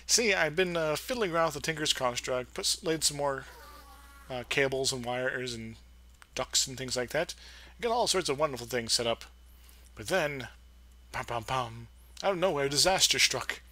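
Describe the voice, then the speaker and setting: Young male let's play host, casual and rambling, playful asides, bright tone. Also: mid-range voice, lively intonation, normal speed. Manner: rambling, playful, fumbles for words. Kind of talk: casual gameplay commentary.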